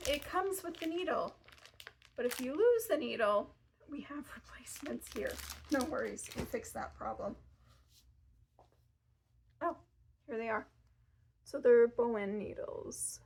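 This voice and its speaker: A woman's voice: sits high, gravelly, darkish tone, a little hiss or hum, rough recording. A woman in her thirties presenting gift ideas in a fabric shop, chatty and self-deprecating with casual asides. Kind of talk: casual product showcase. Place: fabric shop in front of fabric shelves.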